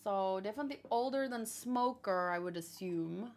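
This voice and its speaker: A woman gives casual live commentary in a mid-pitched voice. She talks to viewers in an informal way, musing as she goes and pausing to think things through. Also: darkish tone. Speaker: host of a manga read-along reaction show.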